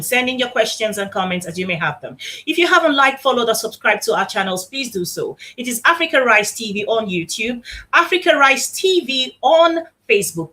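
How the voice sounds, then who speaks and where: A woman's voice: on the high side, fairly bright tone. A woman hosting a live online talk show, upbeat and welcoming as she introduces her guests.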